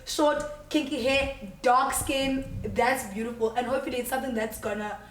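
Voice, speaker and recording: A young South African woman talks in a bubbly, outgoing way as an interview guest, laughing easily. Her voice sits a little high. She is recorded in an echoey room, some distance from the mic. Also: normal speed, slight background noise. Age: 20s. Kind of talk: casual interview chat.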